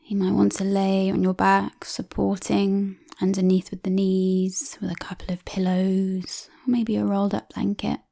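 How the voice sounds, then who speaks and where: Woman, meditation guide, soft near-whisper, calm and soothing, level gentle intonation, gravelly fry at the edges. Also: medium pitch. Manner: calm, soothing, unhurried with long pauses. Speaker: yoga and relaxation guide.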